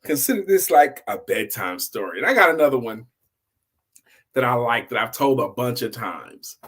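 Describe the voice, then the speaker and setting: A man's voice: mid-range voice, lively intonation, moderate pace, a bit bright, slightly rough. A man hosting an informal story time for adults, telling his tale conversationally and repeating phrases for emphasis. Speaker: host, storyteller.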